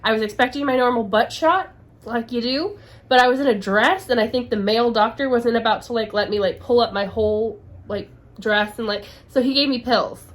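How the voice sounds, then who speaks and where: A woman's voice: fairly high pitch, brisk. A woman getting over a sinus infection, co-hosting a podcast in casual chat, telling long rambling stories with restarts. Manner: rambling storyteller, restarts and asides. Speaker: podcast co-host. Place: dim office lobby, on a couch. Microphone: camera and lights set up.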